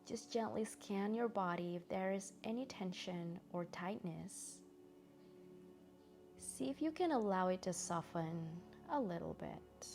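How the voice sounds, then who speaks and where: A woman guiding a meditation in a gentle, soothing, soft-spoken way with long pauses. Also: medium pitch, animated, soft background music. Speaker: meditation guide. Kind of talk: guided meditation narration.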